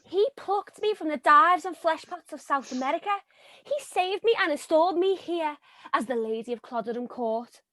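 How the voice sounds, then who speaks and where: Actress as a cheeky gold-digging widow, Liverpool accent, very high bouncy voice, dry close sound. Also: female voice, lively intonation, normal speed, sharp tone, no room sound. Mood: melodramatic. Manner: brash, cheeky, melodramatic. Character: brassy gold-digging young widow faking exotic roots. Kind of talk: stage comedy acting.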